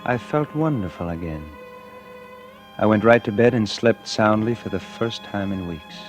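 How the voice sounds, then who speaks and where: A man's voice: lowish voice, animated, moderate pace, natural voice. An actor playing a cold, calculating murderer who narrates his own crime in a confiding, suspenseful 1940s radio thriller style. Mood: smug, relieved. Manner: confiding, suspenseful, hard-boiled. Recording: vintage radio recording with dramatic music.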